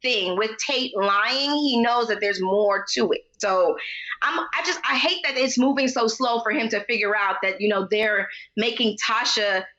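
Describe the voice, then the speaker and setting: A woman co-hosting a casual TV recap, excitable and expressive, with slangy phrasing. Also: fairly high pitch, moderate pace, dull audio. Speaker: co-host of a TV recap show.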